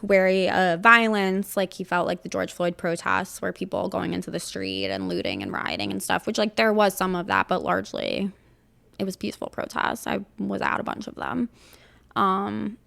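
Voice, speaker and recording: A woman co-hosting a casual political chat podcast, talking haltingly and peppering her sentences with "like". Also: medium pitch, big pitch swings, creaky, fairly bright tone. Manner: halting, heavy use of "like". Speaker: podcast co-host.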